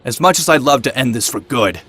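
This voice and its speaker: A man plays a young outlaw vigilante in a motion comic, defiant and wry. His mid-pitched voice is sardonic and self-deprecating, ringing in heavy echo. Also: expressive, brisk, sharp tone. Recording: echoey, long reverb.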